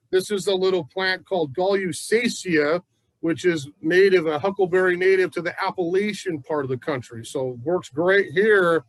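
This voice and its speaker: A man's voice: high-pitched, fairly bright tone, dry recording, low-quality recording. Folksy man teaching a gardening class. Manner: chatty, folksy, enthusiastic. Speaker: nursery gardening instructor. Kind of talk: casual gardening class talk. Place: plant nursery.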